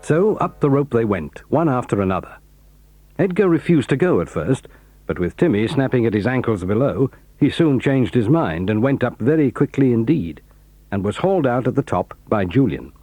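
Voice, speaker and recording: British man, storybook narrator, husky, mellow, dry close sound. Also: medium pitch, very animated, normal speed, dry recording.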